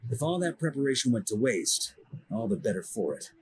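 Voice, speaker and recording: A man's voice: medium pitch, sing-song, brisk, darkish tone, natural voice. Man playing an assured fantasy expedition commander. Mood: wry, reflective. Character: seasoned, confident male expedition commander in fantasy game. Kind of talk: fantasy video game dialogue acting.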